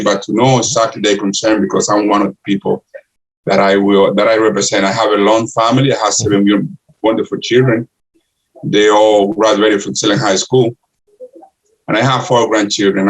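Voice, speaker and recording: An older man from the Republic, answering interview questions in halting English full of restarts. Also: lowish voice, rough recording. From Dominican Republic. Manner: halting, many restarts and uh fillers. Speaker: guest, city councilor and candidate.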